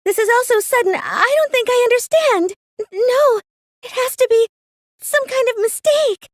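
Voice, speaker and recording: Very high-pitched anime teenage girl. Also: lively intonation, moderate pace, dry recording. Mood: defensive, flustered. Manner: hesitant and stammering when pressed, earnest, girlish. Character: meek, plain teenage girl cosplayer, secretly a gleeful mastermind. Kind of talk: anime video game dub acting.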